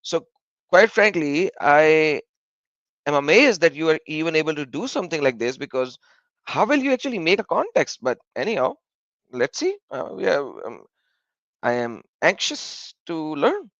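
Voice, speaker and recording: A man's voice: a little high, sing-song, normal speed, fairly bright tone, dry recording. A man hosting a livestream, speaking hesitantly with many fillers. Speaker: channel host. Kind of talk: casual livestream chat. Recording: dull lo-fi dry sound.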